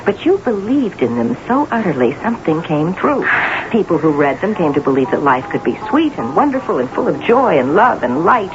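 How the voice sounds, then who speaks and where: A woman's voice: fairly low pitch, sing-song, fairly quick, few pauses, dull audio. An actress playing a sardonic, scheming woman talent manager in a radio drama, with a pointed, biting and theatrical delivery. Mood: scornful. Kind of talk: radio drama acting and first-person narration. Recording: band-limited vintage radio broadcast with music.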